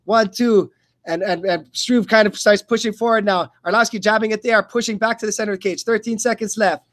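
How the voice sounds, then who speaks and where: A man doing live radio play-by-play of a fight in short, halting phrases that often repeat. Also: sits high, steady tone, a bit bright. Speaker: play-by-play commentator.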